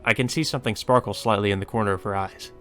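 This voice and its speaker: A man's voice: on the low side, fairly even intonation, fast, a bit bright. A man reading a visual novel aloud as narration for a playthrough, sometimes stumbling and rereading a line. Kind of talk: narration read aloud from a game. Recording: music behind the voice. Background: game music.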